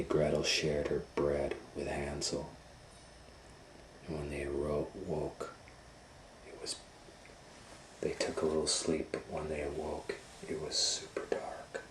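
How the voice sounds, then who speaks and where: Deep-voiced man reading a dramatic children's story. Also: monotone, a little slow, long pauses. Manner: dramatic, swinging from hushed whispers to loud exclamations. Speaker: storytime reader and host. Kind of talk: animated children's story read-aloud. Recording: roomy echo, faint background noise.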